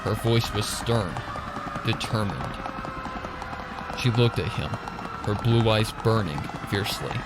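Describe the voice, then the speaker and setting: A man's voice: fairly low pitch, slow pace, pauses often, loud music behind the voice, poor audio. A man narrating a story in a dramatic, suspenseful, theatrical scripted style. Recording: loud ambient sound effects over the voice.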